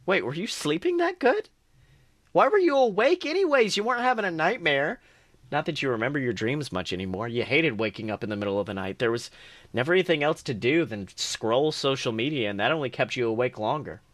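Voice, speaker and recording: A man's voice: mid-range voice, big pitch swings, brisk, fairly bright tone, no echo. A man co-hosting a podcast, reading second-person fan fiction aloud earnestly, with small stumbles and restarts.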